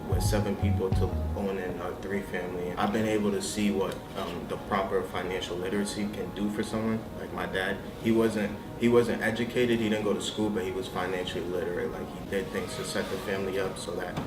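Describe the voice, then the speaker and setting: Deep-voiced young man over loud music. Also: flat delivery, brisk, few pauses. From Massachusetts, US. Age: young adult. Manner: earnest, reflective, with hesitant restarts. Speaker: entrepreneur. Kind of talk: personal profile interview. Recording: echoey room, distant mic, loud music bed. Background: loud background music.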